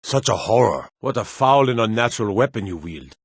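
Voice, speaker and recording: Fantasy game guard, lowish pitch, dry close sound, blunt, wary, folksy. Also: male voice, very animated, brisk, husky, dry recording, exaggerated voice. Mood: alarmed, awed. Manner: blunt, suspicious, folksy, archaic turns of phrase. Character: gruff, wary male city guard in a fantasy realm. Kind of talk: video game character barks.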